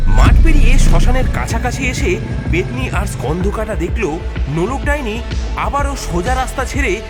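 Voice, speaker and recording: A man's voice: very high-pitched, lively intonation, normal speed. A man narrating a scripted cartoon story in a dramatic style. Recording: loud music mixed under the narration.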